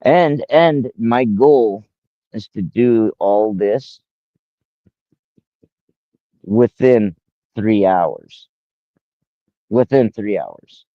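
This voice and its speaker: A man's voice: fairly low pitch, expressive, very slow, pauses often, dry recording, dull audio. A man in his forties rambling casually on a livestream, repeating himself and talking straight to one viewer. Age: 40s.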